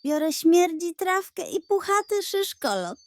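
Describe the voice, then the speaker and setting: A woman voicing a small, feisty little sister creature in a storybook, excitable and childlike. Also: very high-pitched, unhurried, no room sound, pitched above the performer's own voice, heavily acted. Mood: giggly, playful.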